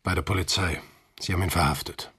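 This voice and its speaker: A man playing a troubled, gentle middle-aged father in a radio play, with a husky, breathy voice. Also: deep voice, steady tone, fast talker, heavy background noise, natural voice. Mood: subdued, serious.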